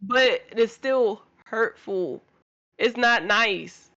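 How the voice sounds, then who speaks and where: A woman's voice: fairly high pitch, very slow, pushed and bright, dry recording. Blunt woman on a dull, dry mic. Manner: blunt, pushes back, drawls her words. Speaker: podcast guest. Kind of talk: casual chat.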